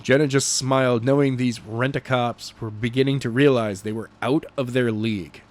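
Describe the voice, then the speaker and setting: A man narrating a novel chapter in an animated amateur audiobook style, stumbling and correcting himself as he reads. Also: mid-range voice. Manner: halting, stumbling read with self-corrections.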